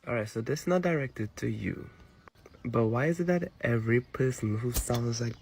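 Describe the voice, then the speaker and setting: Gravelly Trinidadian man, matter-of-fact. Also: mid-range voice, animated, normal speed, a little mellow, a little hiss or hum. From Trinidad and Tobago. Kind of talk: casual rant video.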